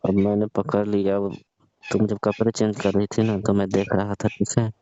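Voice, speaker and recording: A man acts out a lecherous older father-in-law in a staged comic phone call. The voice is low and dark, coaxing and insistent, and the audio is dull and lo-fi. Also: completely flat delivery, normal speed, natural voice. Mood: brazen, suggestive.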